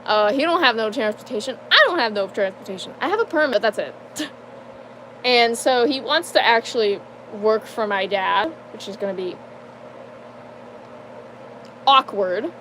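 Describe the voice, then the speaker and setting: A young adult woman giving a casual personal life update, halting and full of fillers, with nervous laughs between sentences. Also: a little high, expressive, pushed and bright, a little hiss or hum, rough recording. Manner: hesitant, halting, many fillers and restarts. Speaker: vlogger.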